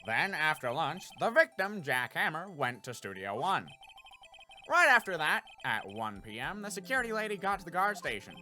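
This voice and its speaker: Man voicing a blustery detective, lively and forceful. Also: fairly high pitch, moderate pace, soft background music, heavily acted. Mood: matter-of-fact briefing. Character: gruff, folksy male police detective giving a case briefing. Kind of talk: video game character dub.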